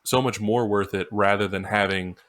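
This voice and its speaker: A man's voice: fairly low pitch, fairly even intonation, normal speed, fairly bright tone. A man co-hosting a podcast, discussing music in an informal, emphatic, casual way. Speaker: podcast co-host.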